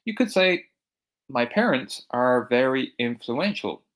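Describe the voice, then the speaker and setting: A man from Ireland with an American-sounding accent teaching English vocabulary, repeating each word slowly and clearly for learners. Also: medium pitch, lively intonation. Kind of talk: recorded vocabulary lesson.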